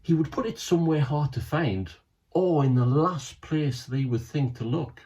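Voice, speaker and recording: A frantic young schoolboy blurts out his lines in a breathless rush, his voice climbing high whenever he gets flustered, as a man puts him on while reading a storybook aloud. He always sounds short of time and eager to get on with things. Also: animated, normal speed, darkish tone. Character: excitable schoolboy hero, young and urgent.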